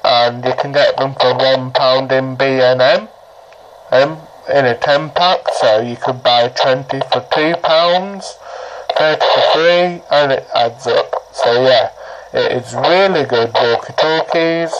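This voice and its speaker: A man giving a casual, rambling how-to explanation, halting and often repeating his words, as he demonstrates a product. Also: mid-range voice, lo-fi sound. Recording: noisy amateur recording, clicks.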